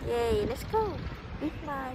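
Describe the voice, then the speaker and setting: Heavy background noise surrounds a woman chatting casually for a vlog. She speaks non-native English at a slow pace, in a fairly high voice. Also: very animated. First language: not English. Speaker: vlogger. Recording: very noisy location sound.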